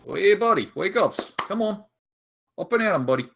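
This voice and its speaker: A man playing a tabletop role-playing game, chatty and friendly, getting animated when speaking for his character. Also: high voice, brisk, gravelly. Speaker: player. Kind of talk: casual tabletop play. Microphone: headset or desk mic on a voice call.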